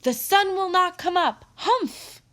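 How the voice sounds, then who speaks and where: Lady reading as a grumpy stubborn storybook bear, grumbling and growling, slow and heavy. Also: a little high, a bit bright. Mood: loud chanting. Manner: grumbling chant, growling threats.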